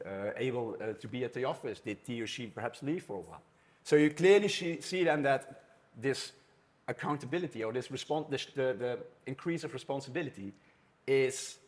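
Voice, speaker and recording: A man with a Dutch accent moderating a live conference panel, chatty and often restarting his phrases. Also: mid-range voice. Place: conference room with an audience.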